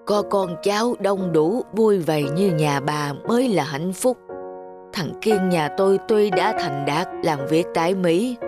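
A woman playing a kindly, lonely elderly grandmother in a cartoon, speaking in a gentle, sentimental manner. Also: on the low side, close to an everyday voice. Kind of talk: scripted cartoon dubbing. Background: background music.

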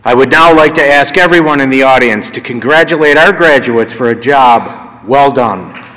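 A man's voice: mid-range voice, normal speed, bright, forceful tone, some chatter behind. A man at a podium giving formal instructions to graduates during a ceremony. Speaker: college vice president. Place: large hall, at a podium. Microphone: podium mic, far camera. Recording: long hall reverb with audience.

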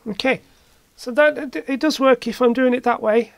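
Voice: medium pitch, normal speed, creaky, dry recording. A hobbyist presenter giving a casual hands-on repair commentary, thinking aloud while working. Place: indoor workbench.

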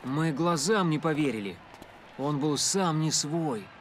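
An actor playing an earnest, loyal young male footballer in an animated cartoon. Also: on the high side, measured pace, a little hiss or hum. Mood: worried.

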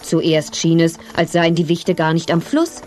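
Lowish-voiced woman telling a children's story. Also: level tone, measured pace, a little mellow, faint music behind. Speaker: narrator. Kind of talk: scripted children's story narration.

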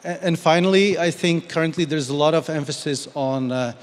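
A man in his forties, speaking in a measured, articulate way on a discussion panel into a handheld mic. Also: fairly high pitch. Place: large glass-walled hall. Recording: long reverb of a large hall.

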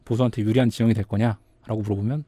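A man's voice: lowish voice, steady tone, very fast, warm tone, dry recording. A man appearing as a data analyst guest on a podcast, explaining carefully and hedging his claims.

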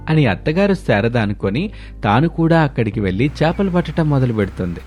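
A man narrating an animated moral tale as a scripted storyteller. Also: medium pitch, fairly quick, faint music behind.

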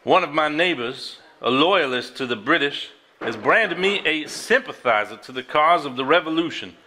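A man's voice: fairly high pitch, lively intonation, moderate pace, bright, forceful tone. A man playing an earnest, plain-spoken Southern family man in a stage drama, with a Southern US accent. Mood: solemn reading. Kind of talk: live stage acting. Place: theater stage before audience.

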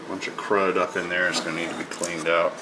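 A man casually narrating his hands-on work, halting as he thinks aloud, recorded on a handheld camera indoors. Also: low voice, little pitch movement, very noisy. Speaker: hobbyist radio repairer presenting his own video. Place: indoors at a wooden table in a home. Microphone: handheld camera, held near the work.

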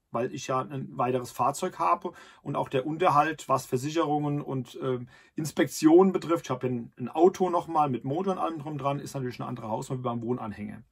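A man's voice: a little high, gravelly, slightly rough. A man in his thirties, host of a camping channel, talking casually to the camera from inside a camper, with many fillers and restarts.

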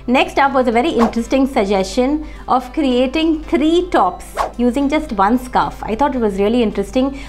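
A woman, hoarse from a cold, chatting to camera in a casual fashion vlog with many fillers. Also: on the high side, a little mellow. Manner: chatty, friendly, with frequent uh fillers. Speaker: fashion vlogger. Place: indoor room, seated facing camera.

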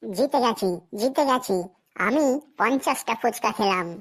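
Woman acting a high-pitched nagging cartoon wife, rural Bengali dialect. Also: normal speed, husky. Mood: delighted. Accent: rural western Bengal Bengali dialect. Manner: scolding, theatrical. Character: nagging, demanding, gullible wife in a comedy cartoon. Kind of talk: comic cartoon dialogue.

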